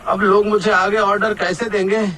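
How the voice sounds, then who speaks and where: A man's voice: high voice, level tone, moderate pace, pushed and bright, a little hiss or hum. A man playing a humble, polite and earnest samosa seller in a cartoon moral story. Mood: worried. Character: humble, hardworking male samosa seller and his customer. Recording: faint background music under the voice.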